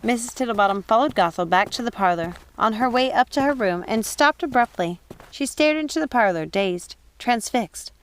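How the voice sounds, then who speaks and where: A woman narrating a storybook in an expressive, animated way. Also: on the high side, normal speed, clean tone, rough recording. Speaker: audiobook reader.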